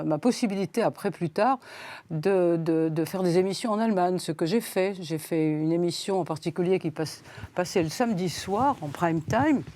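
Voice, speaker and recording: Older lady in her 80s rambling on a talk show, lots of uh and restarts, mellow low-ish voice, dry sound. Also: no echo. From Switzerland. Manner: rambling, many hesitations and repeated words. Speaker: guest. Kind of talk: interview. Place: studio set.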